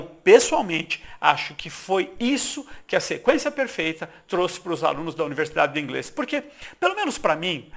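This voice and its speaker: A middle-aged Brazilian man narrating a persuasive sales pitch for his course, emphatic and full of rhetorical questions. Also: creaky, a bit bright. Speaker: course founder pitching an English course.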